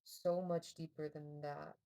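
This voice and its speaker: A woman co-hosting a casual podcast chat, sharing thoughtful opinions in a relaxed, hedging way. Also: low-pitched, slow. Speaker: podcast co-host.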